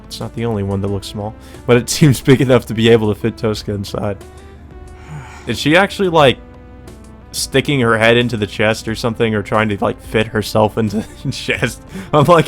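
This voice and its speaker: Male let's player, low voice, narrates a visual novel with off-the-cuff asides over clearly audible music. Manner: slips into character dialogue, ad-libbed asides. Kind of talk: reading visual novel aloud with casual commentary. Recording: music behind the voice. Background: game music.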